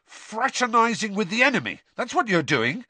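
A man's voice: a little high, big pitch swings, brisk, a bit bright, dry recording, voice pushed up. Man plays sulky teenage boy for audiobook: jealous, scathing, raised swooping voice. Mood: accusing. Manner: scathing, sneering.

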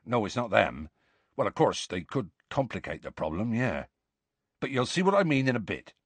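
A man voicing a huge, gruff, evasive gamekeeper with rustic colloquial speech in an audiobook. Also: lowish voice, big pitch swings, normal speed, dry recording, full character voice. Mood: dismissive. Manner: colloquial rural speech, dropped words.

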